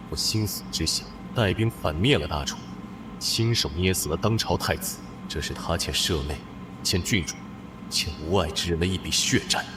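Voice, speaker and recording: A man voicing a deep-voiced fierce general. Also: moderate pace, noisy background, close to an everyday voice. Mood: commanding. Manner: commanding and possessive. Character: fierce devoted general, young man, protective elder brother. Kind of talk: animated drama dub.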